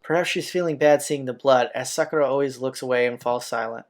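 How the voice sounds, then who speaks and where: A man's voice: medium pitch, brisk. A man hosting a let's-play, reading a visual novel's narration aloud. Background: game music.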